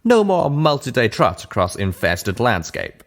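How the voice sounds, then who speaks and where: A man's voice: mid-range voice, big pitch swings, measured pace, voice pushed up. A man voicing a folksy male pegasus pony tinkerer. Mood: eager, proud. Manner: folksy drawling speech with country slang.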